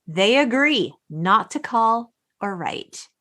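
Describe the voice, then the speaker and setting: A woman hosting a film podcast, chatting in an emphatic, expressive way and acting out or reading quotes as she goes. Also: on the high side, slow. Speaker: podcast host. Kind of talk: animated film chat, retelling and reading out quotes.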